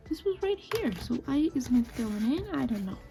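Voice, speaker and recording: A young woman with a Persian accent chatting softly while she draws, thinking aloud as she goes. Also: high-pitched, sing-song, normal speed, creaky. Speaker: drawing video creator. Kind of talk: casual chat while drawing. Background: faint music and marker scratching.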